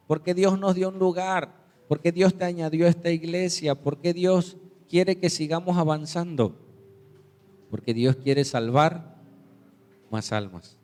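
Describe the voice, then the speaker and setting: Man preaching in a reverberant hall. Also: darkish tone. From Mexico. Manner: storytelling, acts out quoted voices with big pitch jumps. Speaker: pastor. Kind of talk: sermon. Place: church sanctuary.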